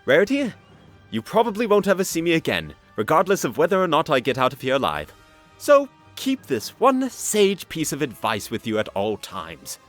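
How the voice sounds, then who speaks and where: Manic, teasing adventurer stallion, acted for a fan audio story: cocky, hugely varied pitch, light music underneath. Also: wildly swooping pitch, moderate pace. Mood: brisk, urgent. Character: cocky, flippant, manic male alien-hunting adventurer stallion.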